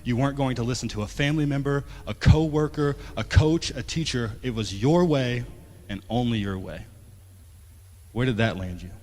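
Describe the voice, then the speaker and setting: A man in his late twenties preaching a sermon as pastor, talking conversationally and swinging from emphatic to quiet. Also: mid-range voice, moderate pace. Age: 20s. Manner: conversational, rising to emphatic then dropping soft. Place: church sanctuary. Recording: long church hall reverb.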